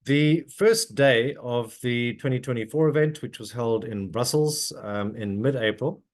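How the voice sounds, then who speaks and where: A middle-aged man presenting on a webinar over a video call, hoarse with a cold and pausing often on ums and uhs. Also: mid-range voice. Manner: halting, frequent ums and uhs, restarts. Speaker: webinar speaker.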